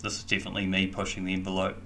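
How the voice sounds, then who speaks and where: New Zealand man, deep flat voice, casual vlog commentary, faint noise behind. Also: very fast, creaky. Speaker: vlog host and diver. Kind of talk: casual voice-over commentary on diving footage.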